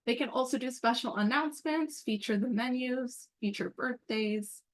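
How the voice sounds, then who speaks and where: A woman's voice: fairly high pitch, normal speed. A woman giving an informal, upbeat, explanatory walkthrough as the presenter on a work call. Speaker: meeting presenter and program coordinator. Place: online group call.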